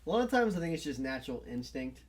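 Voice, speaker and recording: A sixteen-year-old young man, a podcast guest, talking in a quick, rambling, laughing way. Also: medium pitch, big pitch swings, a crowd in the background. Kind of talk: casual chat.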